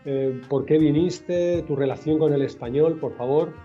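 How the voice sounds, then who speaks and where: Friendly man from Spain as interview host, warm dark tone, slightly high voice, faint guitar bed. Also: unhurried, dull audio. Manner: encouraging, frequent fillers and backchannels. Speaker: Spanish teacher, interview host. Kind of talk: casual interview.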